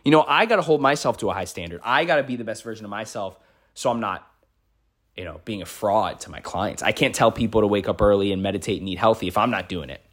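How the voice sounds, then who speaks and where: A man's voice: lowish voice, fast, a bit bright. A man in his thirties with an American accent, giving an impromptu motivational podcast monologue as a life coach, repeating phrases for emphasis. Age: 30s.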